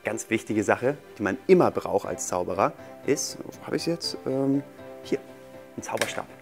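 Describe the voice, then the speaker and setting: A man's voice: on the low side, expressive, normal speed, music in the background. A man in his thirties speaking casually and playfully as a magician showing tricks to children. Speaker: magician, show guest. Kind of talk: casual demonstration patter for children. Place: small furnished TV studio set, indoors.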